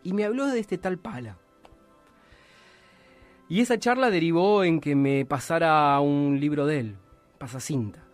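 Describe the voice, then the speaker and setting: Argentine radio host, slightly high voice. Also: male voice, unhurried, long pauses, soft background music. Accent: Argentine Spanish. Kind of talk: radio interview. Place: small radio studio. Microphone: studio mic with headphones.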